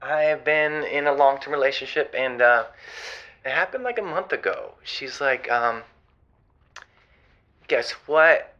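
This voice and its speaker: A man playing a flustered, exasperated groom-to-be phoning an advice show, halting and stammering, on slightly dull phone-in sound. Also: mid-range voice, animated, natural voice. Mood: bashful, hesitant. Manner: halting, stammering, quotes dialogue animatedly. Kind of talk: improvised comedic phone-in.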